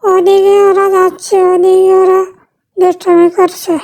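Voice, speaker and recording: A woman voicing small chatty cartoon animals in a squeaky, childlike voice with simple chatter. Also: completely flat delivery, a little slow, a little mellow. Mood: curious, helpful.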